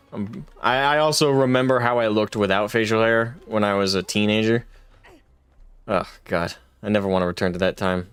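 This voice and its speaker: A man's voice: on the low side, a bit bright, clean tone, no echo. A man in his twenties streaming a game live, chatting casually with his chat in a rambling, self-deprecating way and laughing often. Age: 20s.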